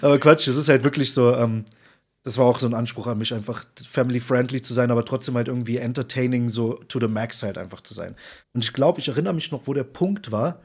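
Husky-voiced man chatting casually. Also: medium pitch, quick pace. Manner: talkative, informal and slangy, emphatic. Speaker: podcast guest, content creator and streamer. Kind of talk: casual interview chat. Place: indoor room, video call.

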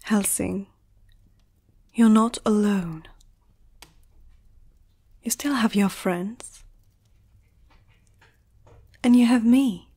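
Gentle female vampire, played by a woman, ASMR roleplay. Hushed, husky, unhurried, soothing, medium pitch. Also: heavily acted. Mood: gentle, earnest. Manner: soft-spoken, often whispering, slow and soothing. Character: gentle, protective female vampire comforting a human.

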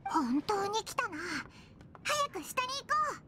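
A woman voicing a tiny, cheeky, excitable fairy companion in an anime game, with a childlike, exclamatory delivery. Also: unhurried, background noise, exaggerated voice. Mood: surprised, indignant. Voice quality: squeaky, childlike. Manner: exclamatory, reactive.

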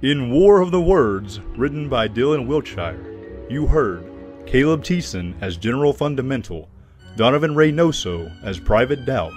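A man's voice: mid-range voice, animated, normal speed, a little mellow, soft background music. A man giving scripted narration and credits in an earnest, declarative manner.

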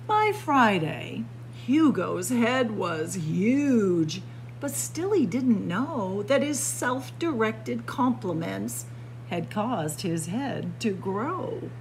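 Animated, unhurried woman reading a storybook. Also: mid-range voice, a little hiss or hum, low-quality recording. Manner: theatrical, deliberate storytelling delivery. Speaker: library storyteller. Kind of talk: expressive picture-book read-aloud. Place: indoor library room with bookshelves.

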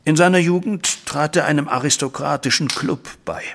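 Gravelly, troubled widowed banker. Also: male voice, a little high, a bit bright. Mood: regretful. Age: middle-aged. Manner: formal, deliberate storytelling. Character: troubled widowed banker, worried disappointed father. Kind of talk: German audio drama acting, recounting events. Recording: music bed behind voice.

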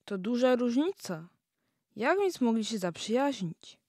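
A woman's voice: a little high, big pitch swings, measured pace, mellow, dry recording, studio quality, full character voice. A young woman playing a curious questioner in a scripted radio-play dialogue. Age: young adult.

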